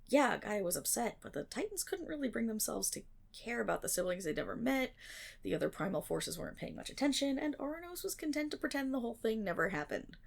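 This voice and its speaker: Nerdy, creaky-voiced best friend played by a woman. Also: a little high, full character voice. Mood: amused, teasing. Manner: animated, wry, dramatic pauses and playful asides. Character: nerdy rambling female best friend, teasing and enthusiastic. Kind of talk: ASMR roleplay, informal myth storytelling.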